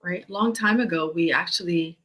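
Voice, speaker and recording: A woman's voice: fairly low pitch, normal speed, a bit bright. A woman hosting a casual online interview, upbeat, welcoming her guests. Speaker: host.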